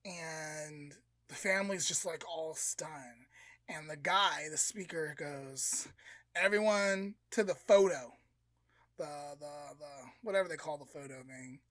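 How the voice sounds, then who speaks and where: A woman's voice: low-pitched, measured pace, sharp tone, dry recording. A woman podcast co-host retelling a story in an informal, dramatic, conversational way and acting out the dialogue. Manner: conversational, dramatic, uses slangy quotes.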